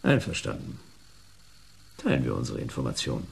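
Jonas, very husky hard-boiled detective. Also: male voice, rumbling low, wildly swooping pitch, normal speed, heavily acted. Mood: wry. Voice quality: husky, gravelly. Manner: dry, laconic. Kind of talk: German radio play acting.

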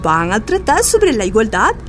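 A courteous female official in a radio play. Her voice is high and swings widely as she explains things formally, over a faint music bed. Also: wildly swooping pitch, moderate pace. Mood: formal, upbeat. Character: courteous, official woman envoy bearing a formal invitation. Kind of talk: radio drama acting.

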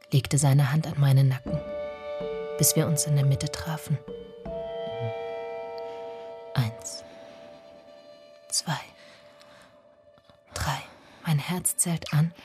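An actress playing a woman who remembers her lost lover, narrating a literary radio drama in a hushed, deliberate, intimate way. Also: sits low. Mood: hushed, tender. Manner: hushed, deliberate, often breathy.

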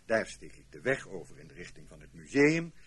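Nervy hired decoy in a spy radio play, lowish plain voice, bit of hiss. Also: male voice, sing-song, brisk. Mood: matter-of-fact. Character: uneasy hired man sent as a spy's stand-in. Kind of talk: radio drama acting. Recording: old radio play, faint noise.

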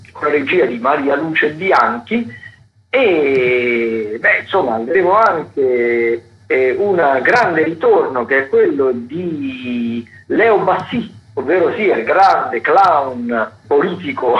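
A man's voice: on the high side, narrow, tinny audio. A man giving theatre listings on radio as a critic, in a playful, wordy, conversational way. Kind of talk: chatty theatre listings commentary.

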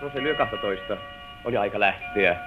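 A man's voice: on the low side, music in the background, close to an everyday voice. An actor playing a persuasive, impatient lover tempting a married woman, with coaxing, theatrical old radio drama delivery. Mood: urging. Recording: old muffled narrow-band radio recording.

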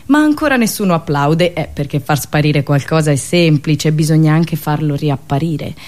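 A woman performing a satirical storytelling monologue, dramatic and ironic, with pauses and drawn-out words. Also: deep voice, a little mellow. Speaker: radio host and monologist.

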